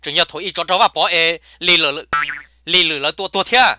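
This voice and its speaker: A man voicing a comic male cartoon character who chatters in a rapid, emphatic way. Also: a little high, noisy background, natural voice. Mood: excited.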